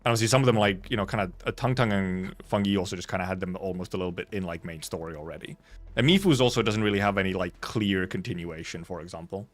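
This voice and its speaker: A man's voice: low voice, fast, soft background music. A man chatting casually on a game livestream, rambling and repeating himself as he talks. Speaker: game streamer.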